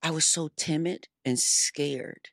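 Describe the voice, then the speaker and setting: A woman's voice: low voice, takes it slowly, gravelly, fairly bright tone, dry recording, studio quality. A woman in her forties, a singer, speaking as a guest in a casual chat, effusive and grateful, often restarting her sentences. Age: 40s. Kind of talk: casual conversation.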